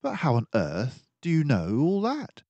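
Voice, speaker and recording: Man voicing an earnest mouse sidekick, lifted pitch. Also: wildly swooping pitch, a little slow, warm tone, no echo, muffled recording, exaggerated voice. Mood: amazed. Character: admiring, puzzled male mouse companion and chronicler.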